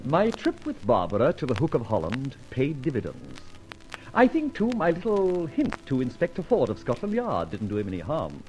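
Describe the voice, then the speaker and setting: An actor playing a suave, indignant British gentleman thief in an old-time radio detective serial, with articulate, flippant delivery. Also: medium pitch, huge pitch swings, normal speed, over music. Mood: wry narrating. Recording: noisy old radio recording.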